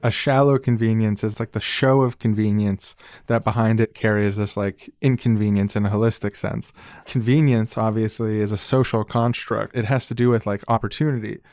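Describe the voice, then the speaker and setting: A man's voice: on the low side, steady tone, moderate pace, darkish tone, no room sound, studio quality. A man co-hosting a podcast, explaining ideas in an articulate but casual way with informal asides. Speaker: podcast co-host. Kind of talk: casual discussion.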